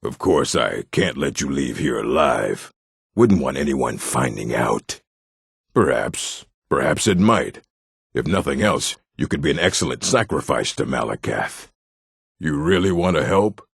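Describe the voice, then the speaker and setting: An actor playing a gruff, scornful male orc in a fantasy video game, with a rough voice and a weighty, emphatic delivery. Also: very animated, measured pace, dry recording, clean studio sound, full character voice. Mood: contemptuous. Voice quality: deep, gravelly, rough.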